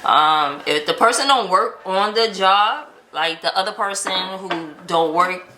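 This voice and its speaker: A woman's voice: mid-range voice, measured pace. An American woman telling a story in a casual, chatty way, acting out bits of quoted dialogue as host. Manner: animated retelling with quoted dialogue. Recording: roomy echo, light background noise.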